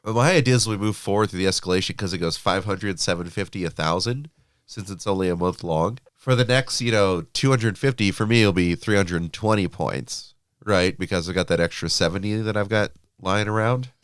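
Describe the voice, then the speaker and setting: A man's voice: low voice, a little fast, clean tone, no room sound, clean studio sound. A man co-hosting a podcast, chatting casually about tabletop wargaming with frequent fillers, a little sniffly from allergies. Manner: chatty, filler-heavy, laughs easily. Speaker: podcast co-host.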